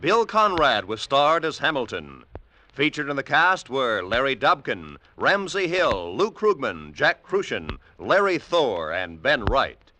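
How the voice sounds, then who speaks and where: A man's voice: medium pitch, sing-song, unhurried, sharp tone, no echo. An American man reading credits and promotions as a 1940s radio network announcer. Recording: noisy vintage radio recording.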